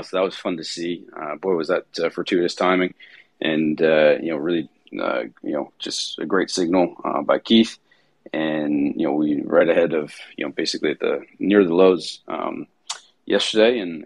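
A tired man with a raspy voice hosting a casual market-review chat, rambling with many fillers and restarts. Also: deep voice, no echo. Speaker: host.

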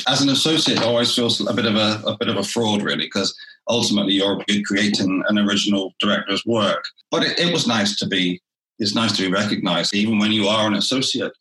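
A man who is a theatre director, talking through his work in a relaxed interview and often restarting his phrases. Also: on the low side, echoey room, low-quality recording.

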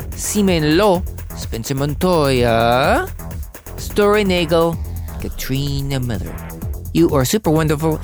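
A man hosting a podcast with a scratchy voice, rambling and joking at his own expense. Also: fairly high pitch. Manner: chatty, joking, self-mocking. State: hoarse, scratchy voice. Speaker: podcast host. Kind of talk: casual rambling monologue.

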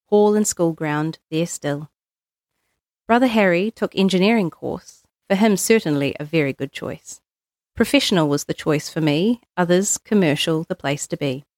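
A woman with a New Zealand accent reading poetry aloud in measured, short phrases. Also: lowish voice, a little mellow, no echo, studio quality. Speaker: guest poetry reader.

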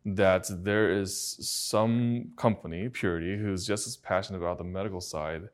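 Male physician host from China, lowish voice, hesitant, repeats words, curious. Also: fairly even intonation, moderate pace. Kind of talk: casual interview.

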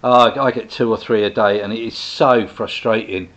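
A man's voice: lowish voice, fairly even intonation, moderate pace, a bit bright, highs cut off. Chatty man in his sixties. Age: 60s. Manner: chatty and rambling, with frequent restarts and asides. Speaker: host, direct sales business owner. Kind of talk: casual monologue to viewers.

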